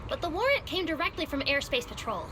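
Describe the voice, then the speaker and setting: Lively, high-pitched young female guide. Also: quick pace, noisy background. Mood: matter-of-fact, explaining. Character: upbeat young female guide showing newcomers around a sky city. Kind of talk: animated game voice acting. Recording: background music under the voice.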